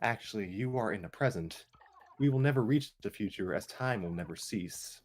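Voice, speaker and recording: Futuristic toad creature, played by a man: low voice, lofty, pedantic, calmly explaining things to lesser minds. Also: normal speed. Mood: condescending.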